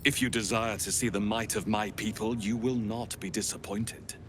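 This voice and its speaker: An actor playing an imperious, devout warrior inquisitor in a video game, speaking formally with cold courtesy and menace. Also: male voice, fairly low pitch, steady tone, background noise, close to an everyday voice. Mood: cold, commanding. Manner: formal, measured, commanding. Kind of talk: video game cutscene acting.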